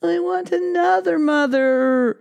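A tiny baby boy mouse, put on by a woman reading aloud, wailing in a shrill, crying voice. He pitches his cries very high above a normal speaking voice. It is a storybook character told for small children. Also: slow pace, gravelly, darkish tone, full character voice.

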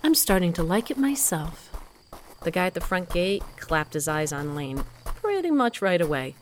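A woman's voice: lowish voice, big pitch swings, moderate pace, slight background noise. An actress playing a wry heroine who narrates her adventure in the first person in an audio drama. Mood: matter-of-fact.

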